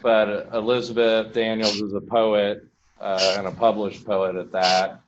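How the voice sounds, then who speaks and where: A man with a very deep voice introduces his guests in a relaxed, chatty manner. The recording is dull and muffled, with a faint noise underneath. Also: unhurried. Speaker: host of an arts and healthcare program. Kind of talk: event hosting.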